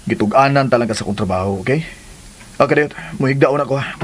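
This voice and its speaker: A man's voice: mid-range voice, fast talker, background noise, natural voice. A man acting in a radio drama as a weary working husband, grumbling and muttering.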